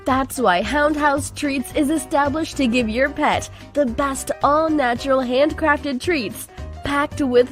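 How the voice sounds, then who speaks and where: High, upbeat woman reading a radio ad. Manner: upbeat, salesy. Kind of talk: radio ad read.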